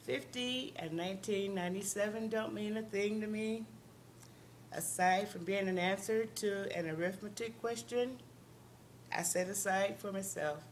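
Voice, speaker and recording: Calm woman reading a memoir passage. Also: medium pitch, a little hiss or hum. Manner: reflective, calm first-person reading.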